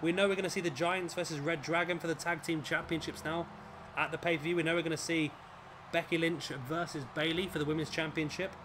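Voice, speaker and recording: A man with a raspy, fading voice, commentating on a wrestling video game as the show's host. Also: a little high, fairly even intonation, brisk. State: hoarse, voice fading. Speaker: host and commentator of a gaming series. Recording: clearly noisy background.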